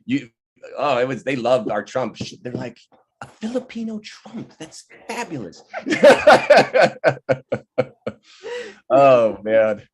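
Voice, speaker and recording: A man with a mid-pitched voice talks casually over a video call, like someone leading a relaxed online gathering. He tells anecdotes in an animated way and tends to act out the people he quotes. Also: slow pace, long pauses, breathy edge. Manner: animated, laughing often, acting out quoted people. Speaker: playwright and director leading a virtual table read. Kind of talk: casual, anecdotal storytelling chat. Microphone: video call microphone.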